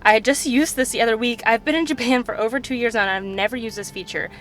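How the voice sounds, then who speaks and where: A woman's voice: a little high, quick pace, a bit bright. A young woman in her twenties chatting casually to her camera, recorded at home and then in a parked car, talking in a chatty, slightly self-conscious way with lots of fillers. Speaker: vlogger. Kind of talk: casual vlog chat. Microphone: camera on-board mic at arm's length.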